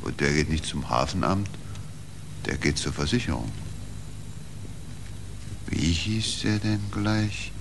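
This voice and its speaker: An actor playing a resigned, brooding man who muses haltingly, in a 1940s radio drama. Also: sits low, expressive, vocal fry, full character voice. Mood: resigned, regretful. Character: resigned, brooding man mourning lost sailors. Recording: noisy old radio play recording.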